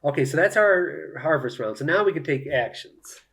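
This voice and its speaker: A man's voice: a little high, expressive, moderate pace, gravelly, a bit bright. A man giving casual live commentary on a solo board game, thinking aloud and explaining each move to viewers.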